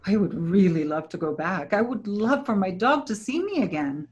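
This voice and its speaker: A woman's voice: medium pitch, expressive, normal speed, a little mellow, close to an everyday voice. A woman acting in a staged play reading as a wistful vanished person longing to return, recorded with dull lo-fi sound. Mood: wistful, hopeful.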